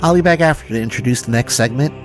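A man's voice: medium pitch, fast. A man hosting a show, presenting scripted links between segments. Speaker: show host. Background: music.

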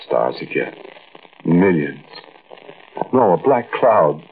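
An actor playing a frightened, haunted man telling his own eerie story, intense and halting, in 1940s radio style. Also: sits low, sing-song, heavily acted. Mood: terrified. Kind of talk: 1940s radio acting, first-person suspense narration. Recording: muffled, noisy old radio recording.

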